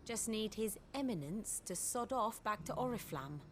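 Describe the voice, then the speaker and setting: A woman's voice: mid-range voice, expressive, moderate pace, a little hiss or hum, close to an everyday voice. An actress playing a blunt, loyal townswoman in a fantasy game, colloquial and disapproving. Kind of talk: scripted fantasy game acting.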